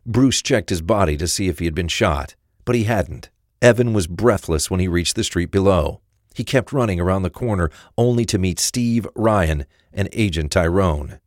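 A man's voice: low voice, moderate pace, dry recording, studio quality. A man reading scripted narration for a crime thriller story.

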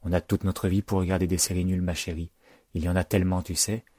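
A man voicing a tender, easily delighted mother who speaks softly and affectionately in an audiobook. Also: sits low, level tone, fast talker, no echo, clean studio sound. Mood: tender, wistful. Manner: soft, affectionate.

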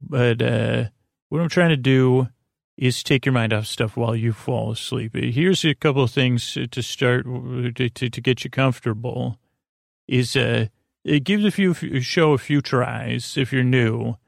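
Creaky, rambling man hosting a sleep podcast. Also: mid-range voice, no room sound, studio quality. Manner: meandering, halting, stammering with false starts and tangents; soothing, lulling. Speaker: podcast host.

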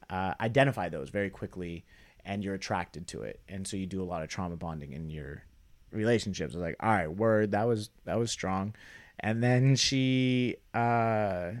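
A young man, a comedian, telling a rambling, wry story in casual podcast chat. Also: fairly low pitch. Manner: rambling, wry, trails off into quoted asides. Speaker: comedian guest.

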